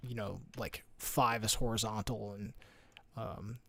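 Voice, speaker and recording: A man's voice: fairly low pitch, steady tone, a little slow, vocal fry, pushed and bright. A man tutoring physics over a screen share in a casual way, thinking aloud with lots of fillers and restarts. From United States.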